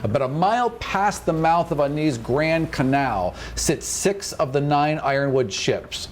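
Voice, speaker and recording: A man's voice: a little high, a little mellow, a little hiss or hum. A man narrating a tabletop fantasy game as its game master.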